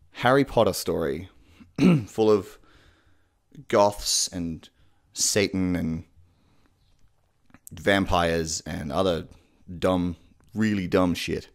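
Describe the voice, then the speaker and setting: A man in his twenties hosting a video with casual, sweary, comedic talk to camera. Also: low-pitched. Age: 20s. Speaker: video host. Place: living room, indoors. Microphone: desktop condenser microphone, close.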